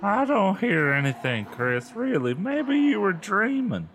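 A man's voice: high-pitched, very animated, measured pace, a bit bright, a little hiss or hum, pitched above the performer's own voice, full character voice. A man voicing a sleepy, grumpy young girl who brushes off her twin in a comic storybook reading. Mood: dismissive. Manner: sleepy, whiny, dismissive. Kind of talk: comic storybook read-aloud.

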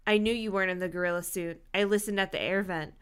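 A woman's voice: medium pitch, fairly even intonation, fast talker, a bit bright, smooth, close to an everyday voice. A woman voicing a cold, vengeful young female villain from a storybook, emphatic and bitter. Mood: bitter, defiant.

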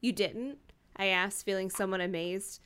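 A woman's voice: medium pitch, normal speed, a bit bright, a little hiss or hum. A woman co-hosting a podcast, reading a novel aloud and adding wry, irreverent asides. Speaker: podcast co-host.

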